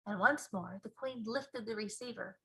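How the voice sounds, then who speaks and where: A woman reading a children's storybook aloud as a teacher, with expressive narration. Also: medium pitch, normal speed.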